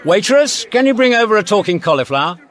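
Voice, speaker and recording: An actor playing a breezy, worldly galactic traveller in a radio science fiction comedy, casual and knowing. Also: male voice, high-pitched, sing-song, fast talker, fairly bright tone.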